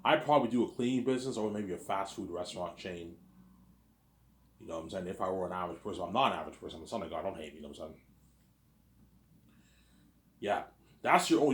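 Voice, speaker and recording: A man's voice: sits low, lively intonation, fast talker, pauses often, echoey room. A man in his thirties from Quebec, rambling in a casual monologue and acting out imagined talks. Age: 30s. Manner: rambling, repeats himself, acts out both sides of imagined talks. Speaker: vlogger.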